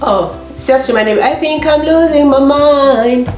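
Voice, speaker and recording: A middle-aged woman with a Kenyan accent and a slightly hoarse voice, chatting casually as a vlog host and acting out little exchanges as she tells stories. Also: sits high, moderate pace, a little mellow, faint music behind. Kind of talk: casual chat to camera. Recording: echoey room.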